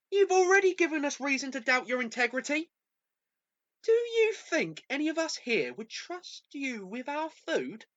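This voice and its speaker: Woman acting high, swooping, arrogant city professional. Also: fairly bright tone, lo-fi sound, full character voice. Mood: scornful. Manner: pompous, scornful. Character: arrogant, sarcastic city professional dismissing the others. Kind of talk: amateur radio play acting.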